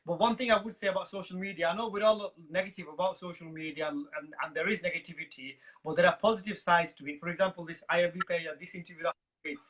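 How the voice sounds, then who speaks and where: A man's voice: high-pitched, fairly even intonation, fast talker, few pauses, a bit bright, rough recording. A man hosting an interview in a casual, agreeable way, often repeating his words. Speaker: interview host.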